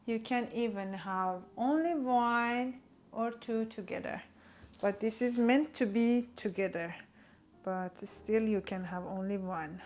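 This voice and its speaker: Slow, enthusiastic woman with a Persian accent. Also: fairly high pitch, a little mellow. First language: Farsi. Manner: enthusiastic, gushing, non-native phrasing. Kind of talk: casual product showcase.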